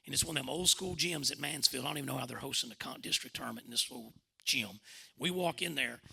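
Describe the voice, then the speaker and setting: Middle-aged man, Southern Arkansas accent, higher pitch, very sharp bright tone, folksy rambling storytelling, coach-like. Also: vocal fry, husky. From Arkansas, United States. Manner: folksy, rambling, repeats himself. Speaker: former basketball coach and commentator telling stories at a club talk. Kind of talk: animated informal storytelling.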